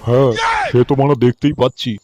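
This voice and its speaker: A man's voice: medium pitch, level tone, fast, music in the background, natural voice. A man voicing a furious, foul-mouthed cartoon husband who threatens and accuses. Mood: angry, accusing. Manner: threatening, swearing.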